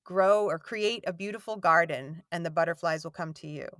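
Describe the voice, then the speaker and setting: A woman voice actor chatting in an interview, rambling and self-deprecating, with a warm voice that has a slight gravel to it. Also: on the low side, normal speed, no echo, studio quality. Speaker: voice actor guest. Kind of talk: casual interview chat. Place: home recording booth.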